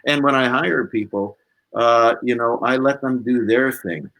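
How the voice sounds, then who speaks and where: A man with a husky voice, a musician being interviewed, talking casually and reflectively and repeating points for emphasis. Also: medium pitch, measured pace, fairly bright tone, rough recording.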